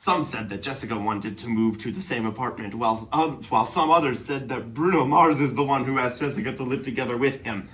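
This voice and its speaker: Bright-voiced man reading aloud, mock-serious. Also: medium pitch, fairly quick, few pauses, far from the mic. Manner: tends to break into giggles. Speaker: reader of a comedic dramatic reading. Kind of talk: mock-serious read-aloud of clumsy text.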